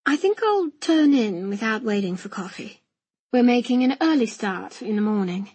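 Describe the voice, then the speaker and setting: Woman as a courteous, husky upper-class young Englishman. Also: a little high, expressive, moderate pace, a little mellow. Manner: courteous, formal.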